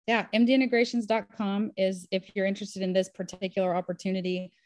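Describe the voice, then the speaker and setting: A woman's voice: medium pitch, little pitch movement, fast talker, no room sound. A woman physician appearing as a podcast guest. She speaks in an upbeat, enthusiastic, conversational way. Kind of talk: casual interview talk. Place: home in Nashville.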